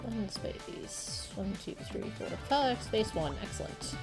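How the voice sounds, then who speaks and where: A woman's voice: medium pitch, sing-song, slow pace, gravelly, over loud music. A woman streaming a game, talking casually to herself and her viewers as she plays. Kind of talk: live game playthrough, reading dialogue aloud.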